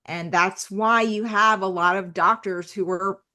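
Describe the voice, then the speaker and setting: A woman from the United States, a podcast guest talking in a rambling, animated way about life abroad. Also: mid-range voice, measured pace. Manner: talkative, rambling, animated asides. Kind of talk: conversational podcast interview.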